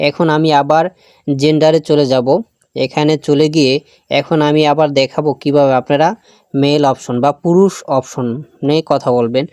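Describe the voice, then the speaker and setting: A man's voice: on the high side, steady tone, normal speed, a little mellow, no room sound, clean studio sound. A man hosting a step-by-step phone app tutorial, talking directly to viewers and often repeating his phrases. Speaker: tech tutorial host.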